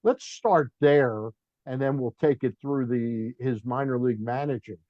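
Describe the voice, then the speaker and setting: A man's voice: medium pitch, unhurried, dry recording, lo-fi sound. A man hosting a sports talk show, chatting bluntly with a guest and reading sponsor plugs. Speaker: sports radio host. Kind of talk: casual interview chat.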